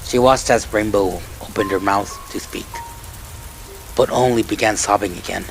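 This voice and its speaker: Casting: man with a fairly low voice to narrate fan fiction over a music bed. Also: moderate pace, poor audio. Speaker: story narrator.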